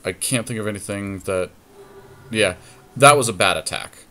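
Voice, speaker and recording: A man with a fairly low voice talks casually through a card game as a podcast co-host. He reasons out each play aloud, conversationally, as if to a partner beside him. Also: moderate pace, pushed and bright. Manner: conversational, thinking plays aloud.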